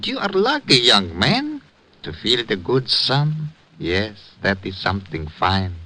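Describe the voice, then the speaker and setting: An actor playing a gentle, courtly old immigrant man in a 1950s radio drama, wistful and quaintly formal. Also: on the low side, huge pitch swings, takes it slowly, exaggerated voice. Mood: wistful, resigned. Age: older.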